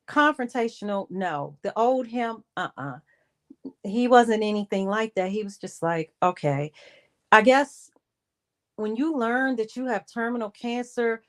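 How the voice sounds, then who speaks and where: A woman telling a personal story in a casual livestream style, re-enacting conversations and repeating phrases for emphasis in a halting way. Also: a little high. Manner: animated re-enacting of conversations with quoted dialogue.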